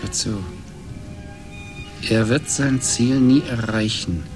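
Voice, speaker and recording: A man playing a thoughtful, calmly defiant writer in a German radio play, with a reflective, measured manner. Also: mid-range voice, over music, natural voice. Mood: reflective, resolute.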